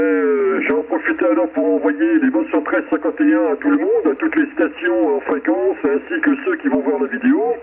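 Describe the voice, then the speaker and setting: A man chatting casually in a halting way, heard through a radio transmission. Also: sits high. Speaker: mobile CB radio operator. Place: transmitting from a car. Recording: tinny, noisy CB radio reception.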